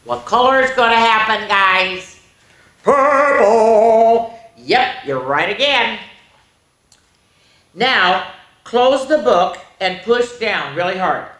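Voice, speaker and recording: A woman hosting a children's story time, reading a picture book aloud in an animated, sing-song, question-asking way. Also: fairly high pitch, slow, pauses often. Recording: roomy, echoey indoor sound.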